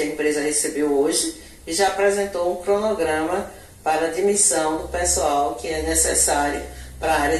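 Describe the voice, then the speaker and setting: A middle-aged woman with a Bahian Brazilian accent, an education official answering interview questions in a measured, formal way into a handheld TV mic indoors. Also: low voice, distant mic. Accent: Brazilian Portuguese, Bahia. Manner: measured bureaucratic explanation, occasional self-corrections. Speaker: municipal education secretary. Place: indoor office in front of a logo backdrop. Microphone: handheld TV reporter mic. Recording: echoey room, voice a bit off-mic.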